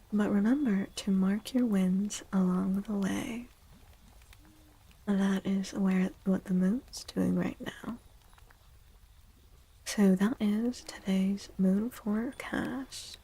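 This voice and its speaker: A woman speaking in a soft ASMR style as a streamer, breathy and often near a whisper, gentle and playfully theatrical with drawn-out words. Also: mid-range voice, steady tone, poor audio. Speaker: streamer hosting a tarot and astrology stream. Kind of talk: soft-spoken ASMR, casual live stream chat.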